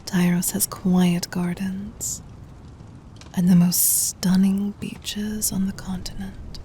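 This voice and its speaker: A woman playing a gentle, doting demon queen in an ASMR roleplay, in a soft breathy whisper with tender, formal, intimate delivery. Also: medium pitch, level tone, slow, slight background noise, natural voice. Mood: fond, relaxed.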